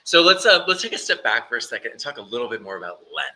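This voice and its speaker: A man's voice: mid-range voice, sing-song, very fast, pushed and bright. A man hosting a conversational podcast interview in an enthusiastic, informal manner. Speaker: interview host and author.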